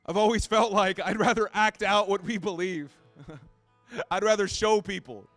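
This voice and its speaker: Pastor, late 30s, high clear tenor, dry close mic, chatty and self-deprecating. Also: male voice, steady tone, unhurried, fairly bright tone, no echo, soft background music. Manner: conversational, self-deprecating, repeats phrases. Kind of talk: sermon.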